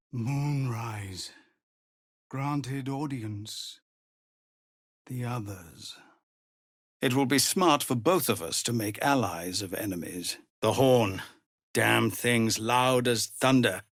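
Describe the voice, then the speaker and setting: An actor playing a weary, dutiful leader of refugee outcasts in a video game, speaking formally and earnestly. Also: male voice, medium pitch. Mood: dazed, halting. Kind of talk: dramatic video game voice acting.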